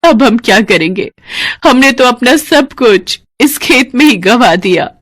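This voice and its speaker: A woman voicing an earnest, expressive and devout farmer's wife in a Hindi animated moral story. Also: a little high, measured pace, no echo. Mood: despairing.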